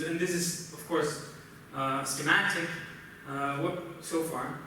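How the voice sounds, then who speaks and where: Man, Brazilian accent, Portuguese native, slightly high voice, halting technical lecture, distant mic, faint noise, conference speaker. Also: fairly bright tone. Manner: halting, explanatory, often checks with "right?". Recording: roomy, noisy conference hall, distant mic.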